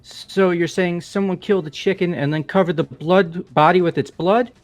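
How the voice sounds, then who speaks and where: A man's voice: high voice, steady tone, normal speed, close to an everyday voice. A man voicing a casual, plain-spoken young male student in fan-made visual novel game dialogue. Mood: eager. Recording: music behind the voice.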